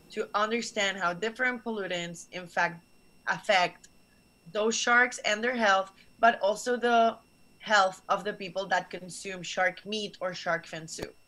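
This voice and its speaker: A woman's voice: mid-range voice, bright, forceful tone, low-quality recording. A young woman, originally from Barcelona, explaining science to students earnestly over a video call from a small office. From Barcelona, Spain. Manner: earnest, explanatory, gestures while talking. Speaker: guest scientist. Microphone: video call webcam.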